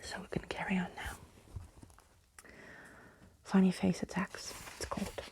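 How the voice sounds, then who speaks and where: A woman reading a storybook in a soft, breathy ASMR whisper, hushed and soothing. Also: mid-range voice, monotone, measured pace. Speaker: ASMR reader.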